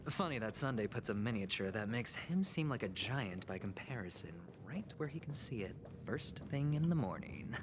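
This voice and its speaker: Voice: mid-range voice, animated, moderate pace, few pauses, background noise, lightly acted. An actor playing a curious, wry adventurer musing aloud in scripted video game dialogue. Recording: game music behind the voice.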